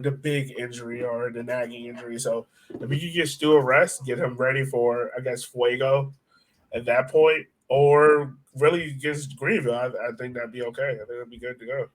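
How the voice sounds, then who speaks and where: A man's voice: medium pitch, fairly even intonation, moderate pace, a bit bright, rough recording. A man hosting a casual soccer podcast, talking hesitantly as he thinks aloud. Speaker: sports podcast host.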